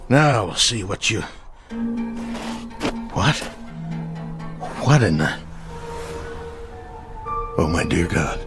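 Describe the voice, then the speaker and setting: Over clear background music, a desperate, ruthless astronaut stranded and turned killer. His delivery is slow and weighty, with pitch that swings widely in full radio-play style. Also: sing-song, full character voice. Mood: weary, musing. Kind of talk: dramatic radio play acting.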